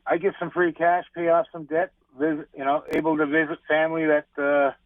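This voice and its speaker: A man's voice: a little high, little pitch movement, a little fast, no room sound. A man calling in to a radio show and rambling chattily, full of "you know". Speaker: caller. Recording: tinny phone line.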